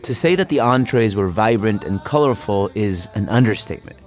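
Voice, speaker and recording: A man's voice: on the low side, expressive, a little fast, a little mellow, soft background music. A man co-hosting a show, narrating from a script with wry, teasing banter.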